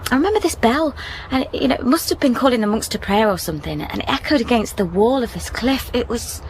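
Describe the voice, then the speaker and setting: An actress playing a recently widowed young woman in a radio drama, speaking softly and hesitantly, curious and tender. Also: on the high side, expressive, quick pace, a little hiss or hum, poor audio. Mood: wistful. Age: young adult. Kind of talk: naturalistic radio drama acting.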